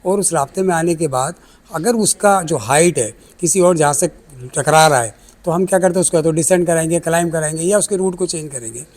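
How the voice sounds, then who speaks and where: Pakistani guy, pretty high voice, expert explaining stuff step by step w/ english tech words thrown in. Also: brisk. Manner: explains step by step, mixing in English technical terms. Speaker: air traffic control chief, aviation expert. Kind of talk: explanatory interview answers. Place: air traffic control centre.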